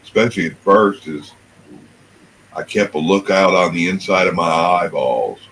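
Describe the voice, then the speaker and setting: A man with a deep voice chatting casually in a folksy, colloquial way. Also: normal speed, a bit bright, slight background noise, poor audio. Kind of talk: casual chat.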